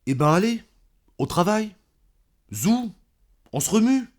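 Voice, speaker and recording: An actor playing an older corrupt mayor and crime boss in an audio drama, measured, sardonic and condescending, with menace under false courtesy. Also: male voice, high voice, very animated, heavily acted. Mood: mocking impatience.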